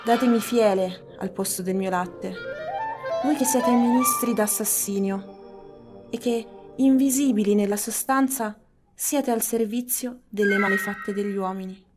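A woman's voice: medium pitch, unhurried, over music, close to an everyday voice. An actress playing an ambitious, ruthless noblewoman in a Shakespearean radio drama, solemn and declamatory. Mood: dark invocation.

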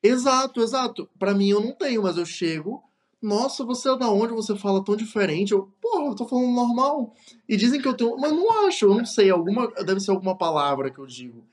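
A young man with a Brazilian Portuguese accent and a few European Portuguese words, his voice sitting a little high. He speaks in relaxed interview chat and often doubles up words as he restarts a thought. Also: a little fast. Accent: Brazilian Portuguese with some European Portuguese words. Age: young adult. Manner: repeats words, hesitant restarts. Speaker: podcast guest. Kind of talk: casual interview conversation.

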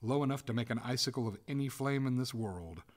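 A man voicing a courteous but coolly merciless young gentleman in a storybook, formal and firm in manner. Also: medium pitch, fairly quick, voice pushed up, close to an everyday voice. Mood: cold, gloating. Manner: formal, suavely polite, firm.

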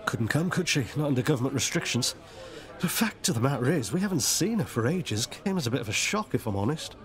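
A man acting an easygoing British friend at the bar in a radio soap. His voice is medium in pitch with varied melody. The talk is casual and colloquial. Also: lively intonation, a little fast, close to an everyday voice. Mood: casual, curious. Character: mild, chatty male pub regular and friend.

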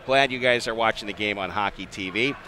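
A man with a bright, forceful American voice calls live ice hockey. He is conversational and chatty, with rink noise around him in the arena. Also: on the low side, fairly quick. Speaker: play-by-play sports announcer. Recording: clear arena ambience noise behind the voice.

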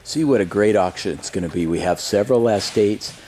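A man's voice: lowish voice, brisk, a little mellow. A man giving a casual, unscripted walk-around narration as an auctioneer promoting a sale. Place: large warehouse showroom, later outdoor lot. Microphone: handheld camera mic, operator behind camera. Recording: camcorder audio with faint background noise.

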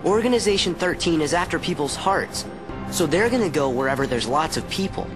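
A man's voice: a little high, animated, fairly quick, close to an everyday voice, low-quality recording. Man voicing a plucky American teenage boy hero. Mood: earnest, reassuring. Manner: youthful, upbeat, determined. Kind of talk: video game cutscene acting. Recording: game music underneath.

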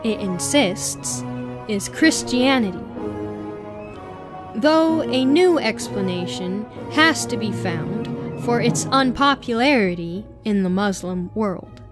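Female reader, fairly high voice, very slow deliberate article narration over clear background music. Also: lively intonation. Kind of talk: reading an article aloud.

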